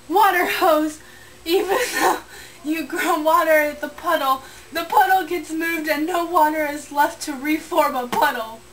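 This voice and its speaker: Young woman, extremely high voice, giggly read-aloud, small bedroom, distant camera mic, faint noise. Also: fairly bright tone. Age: young adult. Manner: giggly, breaking into laughter while reading. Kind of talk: reading a comic story aloud. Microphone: handheld camera a short way off.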